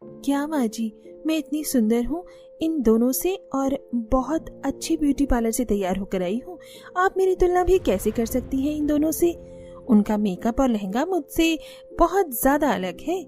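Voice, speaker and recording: A woman's voice: high-pitched, dark tone. A woman voicing young cartoon daughters-in-law, one of them a spoiled, haughty bride who whines and drops English words. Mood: boastful. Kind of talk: cartoon voice acting.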